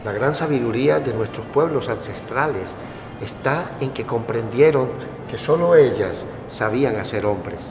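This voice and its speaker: A man hosting a cooking program, narrating in a calm, reflective, poetic way, from Colombia. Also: mid-range voice, moderate pace, over music. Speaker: host and cook. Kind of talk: scripted narration over a cooking show.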